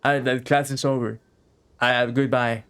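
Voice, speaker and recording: A man giving casual live commentary as a game streamer, reacting out loud and swearing freely. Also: mid-range voice, level tone, normal speed, clear, resonant tone. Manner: profane, reactive, talks to game and viewers. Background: game music.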